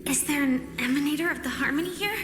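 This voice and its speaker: High-voiced, earnest young woman fighter played by an actress. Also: quick pace, background noise, close to an everyday voice. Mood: earnest, questioning. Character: earnest, determined young female undercover fighter. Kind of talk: anime game voice acting. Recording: echoey reverberant hall, music behind. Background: game music.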